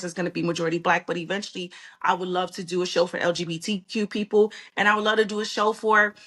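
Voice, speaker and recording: A woman's voice: mid-range voice, a bit bright. Woman, podcast co-host, upbeat, talkative, remote recording. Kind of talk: casual podcast chat.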